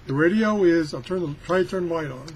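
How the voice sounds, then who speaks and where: A man's voice: fairly high pitch, fast, a little hiss or hum. A man talking casually through a hands-on product test, rambling along in a folksy, self-deprecating way. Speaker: product tester presenting a radio review. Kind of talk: casual hands-on demo chat.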